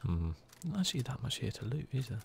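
A man giving hushed ASMR gameplay commentary close to the microphone, often dropping into whispers. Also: medium pitch, sing-song, fast, gravelly, slight background noise. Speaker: gaming video host.

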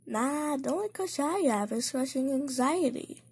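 A woman's voice: sits high, lively intonation, a little slow, gravelly, a little mellow, full character voice. A woman voicing a flustered, anxious and prickly teenage girl in a comic dub, sounding exasperated and self-conscious. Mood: dismissive.

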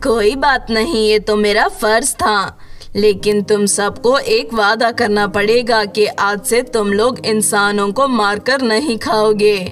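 A woman's voice: medium pitch, fairly even intonation, slow pace, soft background music, close to an everyday voice. A woman voicing a kind, earnest young female witch in a cartoon story. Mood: gentle, firm.

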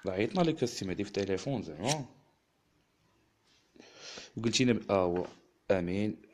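Moroccan guy hosting a live, talks fast, lowish voice, chatty. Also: expressive, a little hiss or hum. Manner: quick, chatty, calls out to viewers and guest. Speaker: livestream host. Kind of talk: casual live chat and storytelling.

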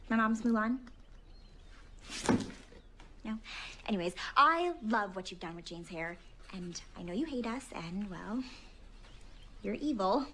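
A woman's voice: mid-range voice, expressive, unhurried, pauses often, sharp tone, a little hiss or hum. A young woman acting a friendly, eager teenage girl in a live-action film, hesitant and bubbly. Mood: nervous friendly.

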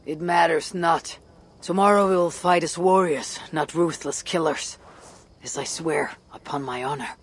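An actor playing a solemn, resolute Viking warrior leader in a video game, speaking in a measured way. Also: male voice, fairly high pitch, fairly even intonation, breathy edge. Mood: firm, defiant. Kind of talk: dramatic video game cutscene acting.

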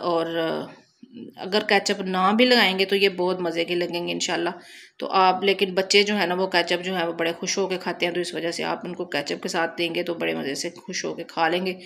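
A woman's voice: on the low side, creaky, a bit bright. A woman with a Pakistani Punjabi accent giving a chatty, casual recipe voice-over as a cooking channel host. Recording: noticeable background noise, home recording.

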